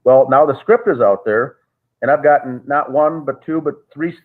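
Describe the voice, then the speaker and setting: A man, a guest on a call, recounting events in a measured, halting way with frequent restarts. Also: on the high side. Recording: tinny phone-like call audio.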